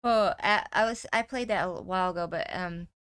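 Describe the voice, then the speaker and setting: A woman's voice: fairly low pitch, a little fast, a bit bright, clean tone, dry recording. Casual woman reacting, dry close sound. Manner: tentative, thinking aloud. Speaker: gaming reaction video host. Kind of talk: casual, off-the-cuff reaction commentary.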